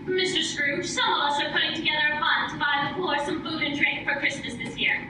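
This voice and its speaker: Innocent, dizzy radio-comedy wife acted by a woman, high voice, noisy distant recording. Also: fairly even intonation, brisk, lightly acted. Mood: sweetly innocent. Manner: innocent, literal-minded, chirpy. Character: sweet scatterbrained wife of a comedy double act. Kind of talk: 1940s radio comedy acting. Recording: echoey hall, far from mic, noisy.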